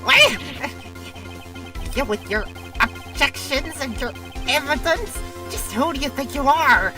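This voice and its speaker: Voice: high-pitched, lively intonation, takes it slowly. A performer voicing a shifty, hot-tempered male witness in an amateur courtroom game dub, blustering defensively. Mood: indignant. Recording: distant mic under loud music.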